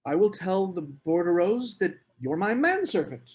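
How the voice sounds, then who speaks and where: A man's voice: high-pitched, big pitch swings, moderate pace, darkish tone, slight background noise. A man at a screenplay table read playing a scheming, charming literary man with formal, earnest phrasing. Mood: eager, polite.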